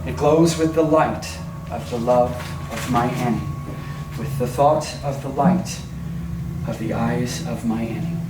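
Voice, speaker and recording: A man giving a dramatic, declamatory reading of poetry in a theatrical manner. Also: medium pitch. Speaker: performer of poetry. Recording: roomy, distant, noisy recording.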